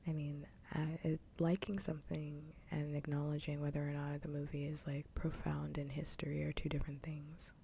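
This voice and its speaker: A woman speaking in a soft whisper, chatting casually in the style of an ASMR livestream. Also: low voice, completely flat delivery, normal speed, gravelly, few pauses, a little hiss or hum. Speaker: livestream host. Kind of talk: casual chat with viewers.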